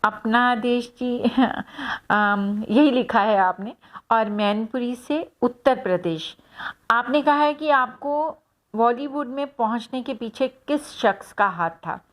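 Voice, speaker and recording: A middle-aged actress from Punjab talks to her viewers in a casual, personal way. Her voice sits a little high for a woman, and she speaks in an unhurried, reflective manner full of small pauses and fillers. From Hoshiarpur, Punjab, India. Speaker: actress answering fan questions. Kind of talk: casual personal talk to camera.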